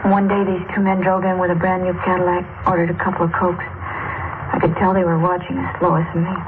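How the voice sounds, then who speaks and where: Sullen, flat-voiced young woman, acted, old radio. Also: fairly low pitch, fairly quick, close to an everyday voice. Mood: weary. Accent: American. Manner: curt, flat, defensive. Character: defensive, sullen young woman of twenty-one, hard-edged. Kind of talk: 1950s radio acting. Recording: noisy, tinny 1950s radio recording.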